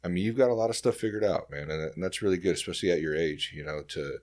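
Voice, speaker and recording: A man's voice: low voice, rapid-fire, a little mellow. A man hosting a casual podcast chat, speaking in an informal, encouraging way. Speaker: podcast host.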